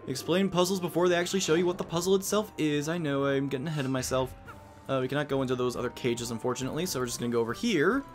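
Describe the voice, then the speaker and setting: A man hosting a let's play, giving chatty, self-mocking commentary with quick asides. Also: mid-range voice, faint music behind. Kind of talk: casual game commentary.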